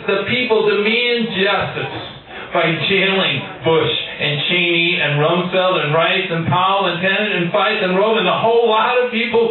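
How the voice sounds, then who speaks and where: A man reading poetry aloud to a live room, declaiming in short, measured phrases with weighty pauses. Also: high-pitched. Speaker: poet, featured reader. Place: indoor cafe venue at a live reading. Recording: roomy, distant, muffled live recording.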